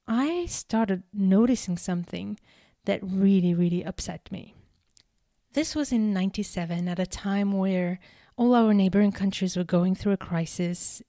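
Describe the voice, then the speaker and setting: A woman in her thirties, from Algeria, giving an earnest, deliberate storytelling speech with emphatic repetitions. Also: medium pitch, mellow, no echo, studio quality. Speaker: speaker giving a talk. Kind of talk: prepared personal storytelling speech.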